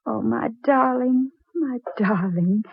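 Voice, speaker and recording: A woman's voice: creaky, slightly rough, no echo, heavily acted. An actress playing a devoted, anxious young woman in love, speaking earnestly and emotionally in a 1940s radio drama. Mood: tender devotion. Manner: emotional, dramatic, with halting pauses. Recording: muffled, very low-fidelity old radio, faint music.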